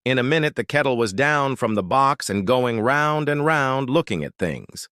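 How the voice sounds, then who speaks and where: A man narrating a folktale as a storyteller, expressive and dramatic, with deliberate emphasis. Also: mid-range voice, clear, resonant tone, dry recording, clean studio sound.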